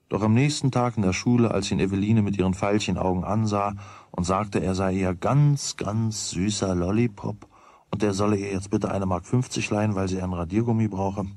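A man's voice: deep voice, darkish tone, no room sound. A man narrating a children's radio play in a scripted storytelling style.

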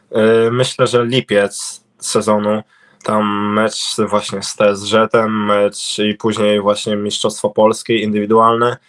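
Young man, low flat halting voice. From Poland. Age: young adult. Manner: halting, many fillers and restarts. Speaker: interviewed speedway cycling rider. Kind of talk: casual interview answers. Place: indoor room at home. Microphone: video call webcam.

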